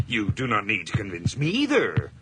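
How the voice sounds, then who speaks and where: An actor playing a tense young murderer who stammers and breaks into frantic shouting, in a radio drama. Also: male voice, on the low side, huge pitch swings, moderate pace, music in the background. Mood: agitated. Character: tense young male murderer, guilt-ridden, cracking into frenzy. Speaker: murderer telling his own story. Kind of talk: 1980s radio drama acting. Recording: hissy old radio broadcast.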